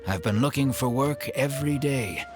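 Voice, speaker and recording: A man voicing an elderly, earnest desert dweller in a video game, speaking in a measured, gentle way over loud game music. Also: medium pitch, lightly acted. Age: older.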